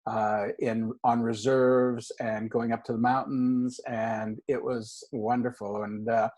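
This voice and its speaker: A man's voice: mid-range voice, level tone, slow, a little mellow. A man from Canada hosting a casual video call interview, upbeat and loose with many fillers. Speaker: host.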